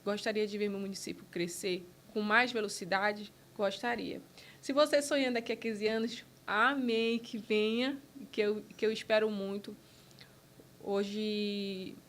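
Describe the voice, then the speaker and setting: A woman from Brazil talks as a guest on a podcast, mid-pitched and earnest. She speaks in short, halting bursts and often restarts her sentences. A faint rumble sits under the recording. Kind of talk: casual interview conversation.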